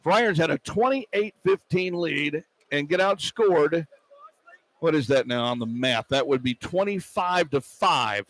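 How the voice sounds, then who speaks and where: High-pitched male basketball play-by-play announcer. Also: expressive, measured pace, fairly bright tone, no echo, poor audio. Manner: clipped running phrases, stretched words on big plays. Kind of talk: live basketball play-by-play commentary. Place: indoor basketball arena. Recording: arena noise behind a live broadcast. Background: arena ambience.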